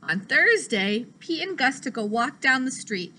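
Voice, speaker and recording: A young adult woman, a teacher reading a picture book aloud to children, expressive and deliberate. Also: high-pitched. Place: small classroom, indoors.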